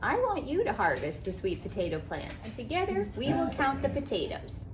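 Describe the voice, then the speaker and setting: A woman voicing a kind, loving grandmother in a children's storybook, affectionate and gently instructive. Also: high voice, huge pitch swings, normal speed, pitched above the performer's own voice. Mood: gentle, instructing.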